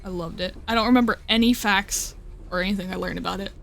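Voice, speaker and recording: A woman's voice: medium pitch, quick pace, creaky, a little hiss or hum. A young woman streaming live, chatting casually and rambling, often cutting herself off and swearing. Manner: rambling, swearing, with self-interruptions and laughs.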